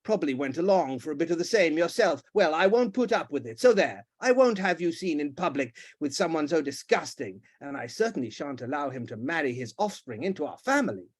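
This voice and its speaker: A man puts on a pompous old duke in a storybook reading, shouting and blustering with a voice that leaps high and swings wildly. The tirade is loud and theatrical, full of indignation. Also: very animated, fairly quick, hardly any pauses, slightly rough.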